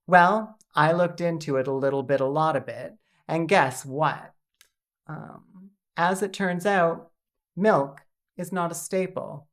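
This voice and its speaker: A woman hosting a video essay with scripted comedic narration, deadpan and sarcastic. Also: sits low.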